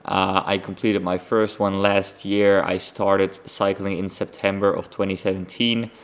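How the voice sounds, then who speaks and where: A young Dutch man hosts a casual solo podcast in a lowish, creaky voice. His English has a Dutch accent. He talks informally and rambles along as he gives an update. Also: little pitch movement, a little slow. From Netherlands. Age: young adult. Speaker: podcast host.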